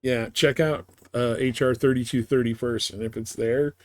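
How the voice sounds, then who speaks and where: A man streaming a game live, chatting casually and thinking out loud in a rambling way. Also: medium pitch, steady tone, normal speed. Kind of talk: casual gameplay chat.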